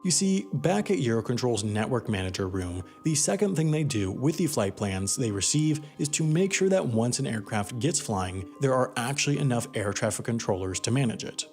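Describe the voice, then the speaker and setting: A man's voice: medium pitch, lively intonation, moderate pace, few pauses, a little mellow, faint music behind. A man delivering scripted narration for an explainer documentary.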